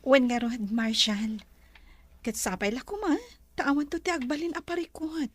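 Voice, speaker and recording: An actress with a Filipino accent playing a worried, earnest sweetheart in a radio drama. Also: female voice, high voice, steady tone, normal speed, dry recording.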